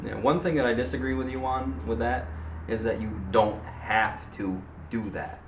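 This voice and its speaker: A man co-hosting a casual movie and TV debate, disagreeing in halting, hesitant phrases. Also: medium pitch, steady tone. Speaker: co-host of a movie discussion show. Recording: echoey room with faint background noise.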